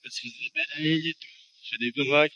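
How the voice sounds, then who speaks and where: A man vlogging at a surf beach, commenting on the waves in a relaxed, offhand way with hesitant fillers. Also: a little high, brisk, dry recording, lo-fi sound. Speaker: surfer vlogger. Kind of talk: casual spoken commentary. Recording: loud outdoor noise, voice far from the mic.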